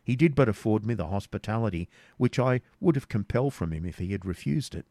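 A man voicing a dignified, wary knight who speaks measured, formal old-fashioned English in a storybook reading. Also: fairly low pitch, expressive, vocal fry, dark tone, no room sound. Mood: courteous, guarded. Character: dignified, courteous, wary male medieval knight.

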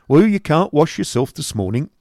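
A man voicing a bullying, greedy male schoolmaster who barks orders loudly, in an audiobook reading. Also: fairly high pitch, very animated, moderate pace, no room sound, studio quality, voice pushed up. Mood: bossy. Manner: loud, hectoring, barking orders. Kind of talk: audiobook character voice.

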